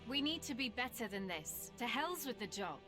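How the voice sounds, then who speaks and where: A woman's voice: a little high, big pitch swings, normal speed. An actress playing a world-weary but principled and loyal female assassin in a video game. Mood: defiant. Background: game music.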